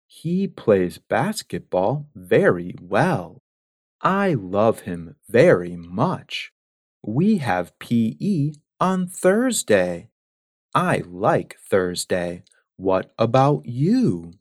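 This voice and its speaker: A man reading English listening test passages in a slow, carefully spaced delivery. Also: fairly low pitch, very animated, no echo, studio quality. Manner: clear, carefully spaced words. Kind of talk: slow, deliberate exam reading.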